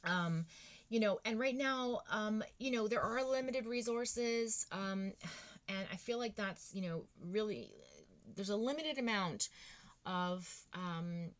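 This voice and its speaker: A woman's voice: medium pitch, slow pace, muffled recording. A woman giving a casual tarot reading, rambling and encouraging, with lots of fillers and restarts. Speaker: tarot reader.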